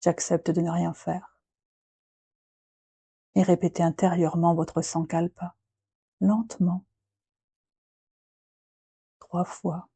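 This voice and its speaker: A woman guiding a yoga nidra relaxation in a soft, breathy voice, speaking gently in short phrases with long, unhurried pauses. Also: lowish voice, level tone, dry recording. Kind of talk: guided relaxation meditation, slow and soothing.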